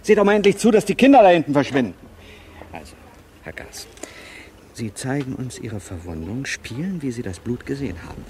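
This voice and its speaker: Male actor for a radio-play film director: insistent, impatient, lively intonation, slight background noise. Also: mid-range voice, brisk, lightly acted. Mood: instructing. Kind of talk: naturalistic radio drama.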